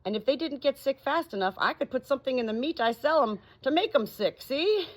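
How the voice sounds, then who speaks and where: Woman as a folksy, animated meat-selling man. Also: high voice, brisk, voice pushed up. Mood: enthusiastic. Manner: colloquial, persuasive. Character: folksy, uneducated, scheming male pet-meat seller.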